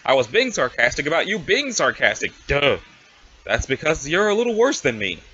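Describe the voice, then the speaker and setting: A man acts out a brash female alien schemer in a comic drama reading. The voice is fairly high and animated, with cocky, sarcastic needling. It is recorded a little dully, like a home setup. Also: moderate pace, husky, dull audio, full character voice. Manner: snarky, bossy, casual needling.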